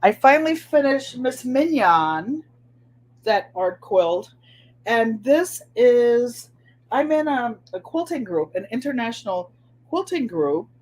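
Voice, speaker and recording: A middle-aged woman with a nasal New England twang hosts a live crafting chat. She talks in an easy, unhurried way, her voice sitting a little high, and calls out to viewers by name between demonstrations. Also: big pitch swings, a little mellow, poor audio. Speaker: craft livestream host.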